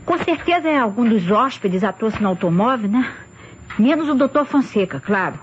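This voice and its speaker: An actress playing a polite housemaid in an old radio mystery, answering respectfully and a little hesitantly. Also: female voice, a little high, lively intonation, moderate pace, natural voice. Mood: hesitant, helpful. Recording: muffled, hissy old radio recording.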